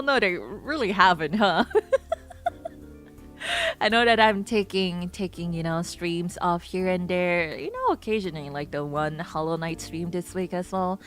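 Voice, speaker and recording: A woman streamer chatting casually with a low voice, giggling often and mixing in Japanese phrases. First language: not Japanese. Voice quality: lower voice, prefers low register. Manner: chatty, giggly, switches between English and Japanese. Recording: faint music behind.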